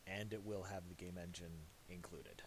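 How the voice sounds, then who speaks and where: A man narrating a casual software tutorial, thinking aloud in a halting way. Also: sits low, steady tone. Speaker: tutorial presenter. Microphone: voice-over for a screen recording. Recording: hissy amateur voice-over.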